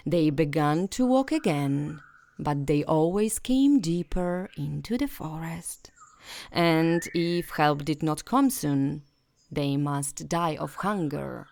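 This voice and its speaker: A woman narrating a bedtime fairy tale as a storyteller, in a gentle, soothing and expressive way. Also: deep voice, gravelly.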